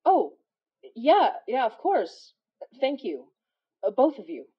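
A woman playing an anxious, hesitant young woman in a horror audio drama, speaking haltingly and naturally. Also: high-pitched, wildly swooping pitch, breathy edge, dull audio, full character voice. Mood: uneasy, hesitant.

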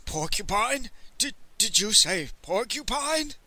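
A man puts on a fat, greedy storybook merchant, fretful and fawning. He stammers breathlessly in a very high voice, leaping about in pitch. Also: very animated, moderate pace, bright, forceful tone, no echo, full character voice. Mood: shocked. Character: fat gluttonous merchant, anxious, obsequious, easily horrified.

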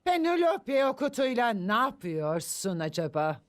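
Buyurgan, tiz sesli dükkân görevlisi. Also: female voice, a little high, sing-song, a little slow. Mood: suspicious. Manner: curt, officious. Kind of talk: dubbed children's cartoon acting. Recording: music under the voice.

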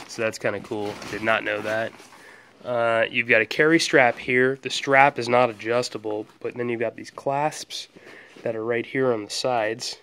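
A young man casually walking through a product at home, relaxed and rambling with lots of ums and restarts, filmed on a handheld phone. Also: medium pitch, slight background noise. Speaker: product reviewer. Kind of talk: casual hands-on product walkthrough. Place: indoors, carpeted room at home.

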